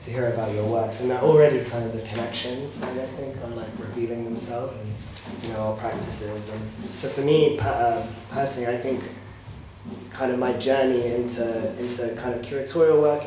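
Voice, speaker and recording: Flat-voiced man, echoey room. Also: mid-range voice. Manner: thoughtful, hesitant, many "kind of" fillers. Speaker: panellist, curator. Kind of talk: panel discussion talk. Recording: echoey room, mic far off, clear noise.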